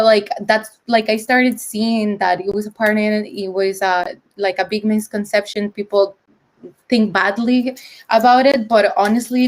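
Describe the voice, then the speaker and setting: Young woman, English, casual presenter with a chatty, filler-heavy delivery, video-call audio. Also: medium pitch. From El Salvador. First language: Spanish. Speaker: conference presenter.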